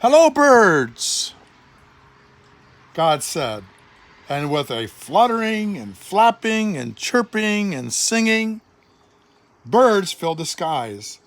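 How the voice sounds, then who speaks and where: An older man, a grandfather, reading a children's storybook aloud with dramatic, deliberate, expressive phrasing. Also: high-pitched.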